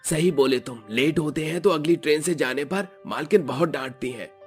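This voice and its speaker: A practical working husband from a cartoon, played by a man grumbles in an everyday way. His voice is fairly high, with faint music behind. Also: moderate pace, close to an everyday voice. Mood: grumbling. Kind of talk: animated cartoon story acting.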